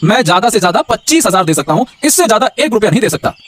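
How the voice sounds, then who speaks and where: High-voiced, meek village husband. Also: male voice, sing-song, racing, slight background noise. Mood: anxious pleading. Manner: hesitant, pleading, repeats phrases. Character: poor, meek, apologetic village husband, anxious and pleading. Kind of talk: melodramatic Hindi cartoon voice acting.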